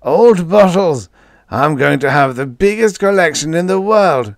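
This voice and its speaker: A man's voice: a little high, expressive, no echo, clean studio sound, pitched above the performer's own voice. Cackling, crazed old spaceman. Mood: manic glee. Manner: cackling, giddy, drawn-out delivery. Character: wizened, senile old spaceman, gleefully insane.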